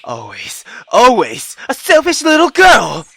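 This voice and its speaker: A visual novel player, a woman, voicing a cruel, accusing mother in a venomous, drawn-out, commanding way. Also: on the high side, huge pitch swings, vocal fry, fairly bright tone, dry recording, heavily acted. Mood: furious, contemptuous. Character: cruel, accusing mother scolding her daughter.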